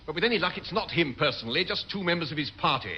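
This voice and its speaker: An actor playing a well-spoken, exasperated British first lieutenant in a classic radio sitcom, brisk and polite with dry sarcasm. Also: male voice, on the high side, animated, bright, forceful tone. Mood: harried. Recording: dull old radio recording, faint noise.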